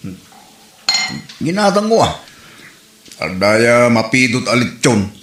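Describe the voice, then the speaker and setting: A man's voice: medium pitch, very animated, slow pace, a bit bright, slight background noise. A man playing a defensive, grumbling husband and father in an amateur comedy drama. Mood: casual. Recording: roomy, echoing room sound.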